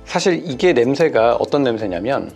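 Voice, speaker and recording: A middle-aged man, a plastic surgeon, chatting through common patient questions in a casual, animated way and quoting his patients. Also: mid-range voice, moderate pace, gravelly, soft background music. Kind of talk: clinic Q&A explainer video.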